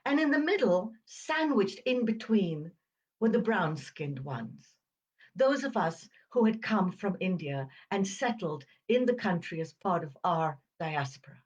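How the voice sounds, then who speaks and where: An older woman in her seventies speaks with an upper-crust British accent at a slow, measured pace. She enunciates each word crisply and leaves deliberate pauses as she reflects on personal memories. The audio is slightly dull and muffled. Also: on the low side, sing-song. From Uganda. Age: 70s. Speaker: podcast host. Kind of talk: reflective personal storytelling monologue.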